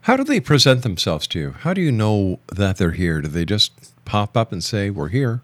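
A man's voice: fairly low pitch, very animated, normal speed, no room sound. A man hosting a radio interview, asking probing questions and pressing his point by repeating them.

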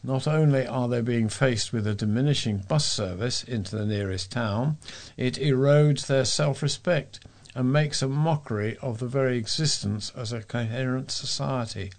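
A man reading readers' letters aloud as a volunteer on a local news recording, with a measured delivery and the occasional stumble. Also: mid-range voice.

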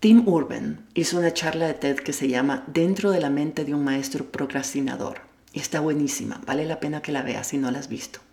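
Low-voiced woman coaching in an echoey room. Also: brisk, gravelly, a little mellow. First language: Spanish. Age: adult with a child at home. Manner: candid, self-deprecating, addresses the listener directly. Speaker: life coach and podcast host. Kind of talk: motivational monologue.

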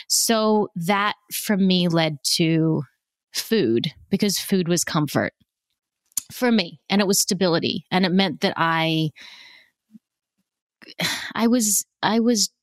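Aussie woman opening up on a podcast, halting and emotional, lowish voice. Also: dry recording. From born in South Africa, raised in Australia. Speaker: guest. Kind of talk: candid personal conversation.

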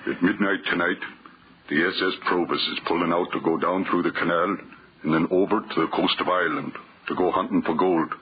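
A man's voice: sits low, fairly even intonation, brisk, breathy edge. A man playing a tough, greedy waterfront fixer in a 1940s radio drama, talking in slangy, hard-boiled patter. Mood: shrewd, greedy. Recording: muffled 1940s radio recording.

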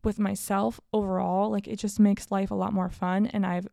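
A woman's voice: medium pitch, little pitch movement, moderate pace, vocal fry, warm tone, no room sound, clean studio sound. A woman in her late twenties hosting a casual solo podcast, talking candidly and upbeat about her year. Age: 20s. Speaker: podcast host.

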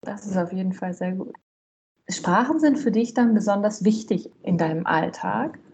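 A woman's voice: medium pitch, moderate pace, gravelly, a little mellow, a little hiss or hum. A woman interviewer putting questions and short responses to her guest in a conversational way.

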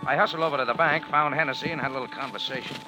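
An actor playing a wry, tough cafe owner in 1940s radio noir, clipped and hardboiled. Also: male voice, mid-range voice, rapid-fire, lightly acted, low-quality recording. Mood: hardboiled narration. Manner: dry, wisecracking, clipped hardboiled delivery. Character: wry, tough male cafe owner narrating his adventure. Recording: old radio broadcast with music behind.

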